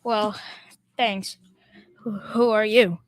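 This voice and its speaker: A woman's voice: medium pitch, steady tone, drawn-out words, no echo. A young female performer playing a blustering, cowardly middle-aged father in old-time radio comedy style, folksy, wisecracking and ungrammatical. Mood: frightened. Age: teenager.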